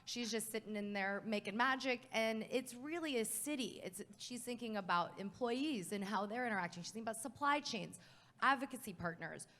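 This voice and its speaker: A woman moderates a live conference panel in a slightly high voice. Her delivery is upbeat and emphatic, and she laughs easily. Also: a little fast, bright, forceful tone.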